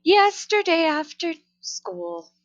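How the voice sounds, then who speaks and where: A woman's voice: very high-pitched, very animated, slow, lo-fi sound, voice pushed up, heavily acted. A woman voicing a worried, fussy storybook mother who frets and exclaims about her child. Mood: flustered. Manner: fretful, exclamatory.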